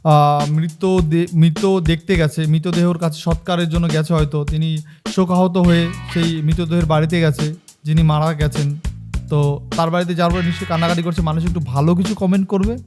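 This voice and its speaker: A man's voice: on the high side, flat delivery, brisk. A man giving casual, chatty reaction commentary as a host, addressing his viewers like friends. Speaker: host of a political meme review channel. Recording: music bed behind the voice.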